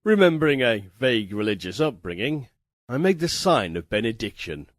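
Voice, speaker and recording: A man narrating a literary first-person story as an audiobook reader, with a measured, theatrical, formal delivery. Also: mid-range voice, sing-song, dry recording, studio quality.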